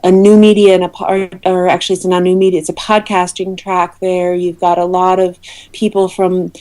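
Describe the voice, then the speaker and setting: Mellow-voiced woman explaining, often rephrasing. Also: on the low side, little pitch movement, fairly quick, clean tone. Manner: hesitant, often rephrasing mid-sentence. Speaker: interview guest, awards committee head. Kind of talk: casual explanatory interview chat. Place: remote call into a podcast.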